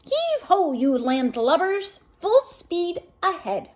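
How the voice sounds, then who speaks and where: A woman voicing an excitable, eager little girl from a storybook who loves to dress up. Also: extremely high pitch, slow, voice pushed up, heavily acted. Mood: gleeful, excited. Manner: exclaiming, eager.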